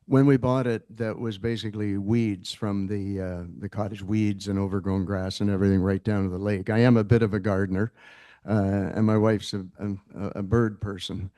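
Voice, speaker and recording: A man's voice: fairly low pitch, steady tone, moderate pace, mellow, dry recording. A man speaking as an applicant at a council meeting, chatty and rambling, often breaking off mid-sentence. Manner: chatty, rambling, self-interrupting. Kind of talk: public comment at a meeting. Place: council chamber.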